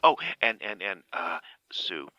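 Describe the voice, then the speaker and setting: A man's voice: unhurried, pushed and bright, no room sound. An actor playing a nervous, stammering male insurance man who is sweet on an old friend, in an amateur radio play. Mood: eager. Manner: stammering, flustered, jumpy pitch.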